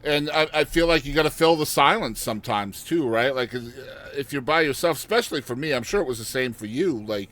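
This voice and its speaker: A man's voice: medium pitch, expressive, a little fast, hardly any pauses, a bit bright. A man hosting a podcast, asking rambling questions and nodding along to his guest in casual conversation. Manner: affirming, asks rambling questions. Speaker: podcast host.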